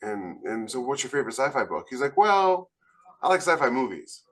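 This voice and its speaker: Mid-pitched man telling casual anecdotes. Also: very animated, a little fast, fairly bright tone. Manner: acts out quoted dialogue. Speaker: podcast co-host.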